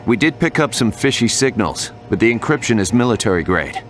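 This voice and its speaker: An actor playing a businesslike, deferential technician underling in a video game, speaking matter-of-factly. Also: male voice, lively intonation, fairly quick, natural voice. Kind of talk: video game cutscene acting. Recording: rumbling noise behind the voice.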